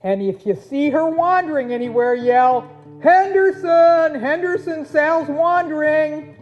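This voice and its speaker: A woman voicing an excitable, folksy pioneer farmer in a children's puppet show, full of exclamations. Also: high voice, a little slow. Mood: busy, friendly. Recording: dull lo-fi audio, guitar music behind.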